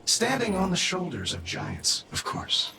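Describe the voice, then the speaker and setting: Cocky, gravelly, animated AI sidekick. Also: male voice, sits high, measured pace, background noise, full character voice. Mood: brash, excited. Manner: brash, theatrical, rambling. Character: cocky, eccentric male artificial intelligence, an engineer sidekick. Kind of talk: video game voice acting.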